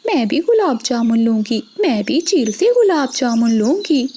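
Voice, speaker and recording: A woman's voice: a little high, sing-song, measured pace, mellow, slight background noise. A woman voicing a sly, boastful female crow in a children's cartoon, scheming and exclamatory. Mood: greedy, eager. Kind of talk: Hindi children's cartoon voice acting.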